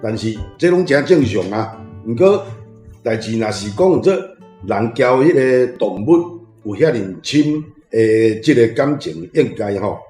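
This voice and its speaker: A man's voice: medium pitch, lively intonation, unhurried, dark tone. A man with a Taiwanese accent sharing his thoughts on a story in a colloquial way, as a panel guest. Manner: colloquial, frequent tag particles. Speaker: panel guest, reading club member. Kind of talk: informal commentary on a story. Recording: roomy, faint music behind. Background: faint guitar music.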